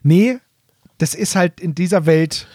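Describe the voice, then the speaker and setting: A man with a fairly high voice chats as a podcast co-host. He explains things informally, fan to fan. The recording is close and dry. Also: measured pace, a little mellow, no room sound. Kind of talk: casual explanatory fan chat.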